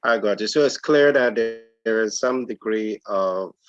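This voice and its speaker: A man's voice: lowish voice, normal speed, no echo, soft background music. A man who interviews a guest with brief, agreeable prompts. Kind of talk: conversational discussion. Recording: dull lo-fi sound.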